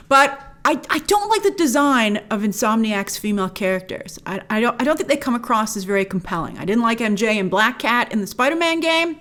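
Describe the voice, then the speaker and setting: A woman's voice: fairly high pitch, a bit bright. A woman hosting a review, giving opinions in an emphatic, informal, conversational way. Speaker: film and game review show host.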